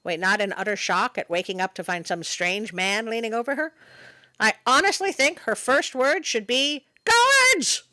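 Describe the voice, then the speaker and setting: A woman's voice: a little high, normal speed, no room sound. A woman voicing a small furry monster who reads storybook tales expressively, with sardonic, easily sidetracked comic asides. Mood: mock indignant. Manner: expressive, wry, interrupts the reading with mock indignation. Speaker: storyteller and host. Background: music behind the voice.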